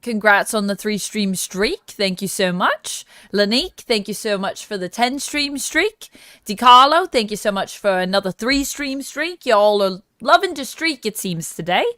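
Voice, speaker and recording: A woman hosting a tabletop livestream in casual, chatty talk. Her voice is smooth and clear, recorded dry and close with no room sound. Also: mid-range voice, lively intonation, moderate pace, pushed and bright, no room sound. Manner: chatty, laughs easily, thanks viewers. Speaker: streamer host.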